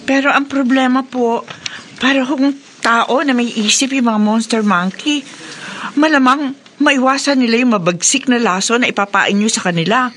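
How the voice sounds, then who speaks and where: An actress playing a worried, caring woman in a Filipino radio horror drama, earnest and measured in delivery. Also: a little high, fairly bright tone, a little hiss or hum, lightly acted.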